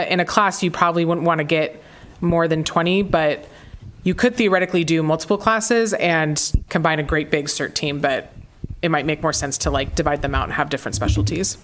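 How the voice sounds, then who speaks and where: A woman on a community panel, answering audience questions about volunteer teams in a casual way, with many fillers. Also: deep voice. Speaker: preparedness team coordinator.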